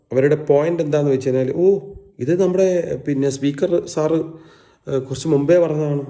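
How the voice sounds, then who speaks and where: A man giving informal opinion commentary, speaking emphatically and deliberately with English words mixed in. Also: on the high side, mellow.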